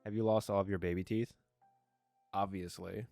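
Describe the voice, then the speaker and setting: A man's voice: sits low, little pitch movement, moderate pace, vocal fry, darkish tone, dry recording, soft background music. A man doing a casual let's play, reading narration aloud and commenting on it, sometimes tripping over his words. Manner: stumbles over words while reading, self-correcting.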